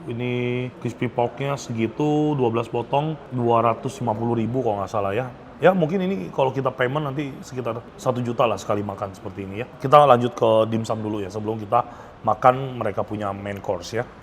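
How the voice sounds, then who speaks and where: A man talks through a food review in a casual vlog style. His voice sits at a medium pitch, and he sounds enthusiastic, drawing out words he wants to stress. A light layer of ambient noise sits behind him. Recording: noticeable background ambience noise.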